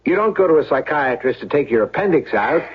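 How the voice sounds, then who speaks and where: An insistent, practical American friend in an old radio drama. His husky voice sits at a medium pitch, pressing each point emphatically and repeating himself. The sound is dull, like an old broadcast. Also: very animated, a little fast. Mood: urgent, persuasive. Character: practical, wealthy, insistent loyal male friend of accused man.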